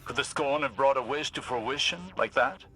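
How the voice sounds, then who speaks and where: A man's voice: mid-range voice, normal speed, pushed and bright, close to an everyday voice. A man playing a wise older scholar-warrior in a sci-fi game, grave, probing and philosophical in a formal way. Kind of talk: scripted game dialogue.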